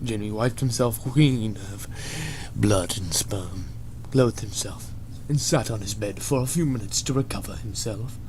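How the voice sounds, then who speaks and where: A man's voice: lowish voice, unhurried, a little mellow, a little hiss or hum. A man giving a dramatic scripted reading of a story as its narrator. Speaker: narrator of a fan fiction reading.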